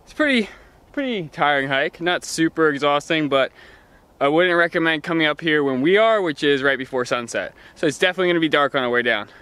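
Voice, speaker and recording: A young man vlogging casually to a handheld camera as he hikes a wooded mountain trail, relaxed and upbeat. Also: on the high side, fairly quick, a little hiss or hum. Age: young adult. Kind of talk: casual vlog narration while hiking. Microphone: handheld camera at arm's length.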